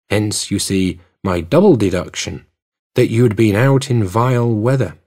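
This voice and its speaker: A man voicing a keen, superior, teasing male detective in an audiobook, brisk, assured and precise. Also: fairly low pitch, mellow. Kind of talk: audiobook character dialogue.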